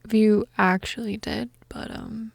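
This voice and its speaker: A young goth woman played by an audio roleplay actress murmurs with shy affection and gentle teasing. Her delivery is slow and hesitant, soft and breathy, often dropping to a whisper. The sound is close and dry. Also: medium pitch, fairly even intonation, no echo. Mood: tentative, tender.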